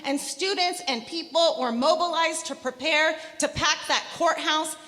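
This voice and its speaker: A woman assistant professor giving an earnest public comment at a campus forum, pausing with fillers. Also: high voice, pushed and bright. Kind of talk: public comment at a town hall. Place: large indoor hall at a public forum. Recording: large hall with crowd noise.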